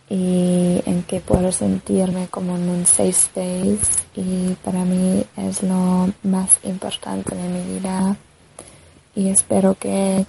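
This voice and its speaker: Slow, gravelly woman, non-native speaker. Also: medium pitch, dark tone, a little hiss or hum, poor audio. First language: not Spanish. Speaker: listener sending a voice message. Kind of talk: casual voice message.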